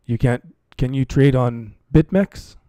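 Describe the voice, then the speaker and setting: A man's voice: medium pitch, slow pace, warm tone, dry recording. A man hosting a live stream, commenting casually and chatting with viewers, often repeating phrases for emphasis. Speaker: livestream host and cryptocurrency trading commentator.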